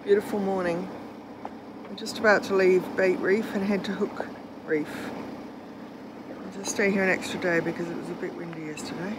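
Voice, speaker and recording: A woman's voice: medium pitch, steady tone, normal speed, long pauses, noisy background. A middle-aged woman chatting cheerfully to her phone camera on the deck of a sailboat, laughing often. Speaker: sailing vlogger. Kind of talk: casual vlog chat. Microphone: phone selfie at arm's length.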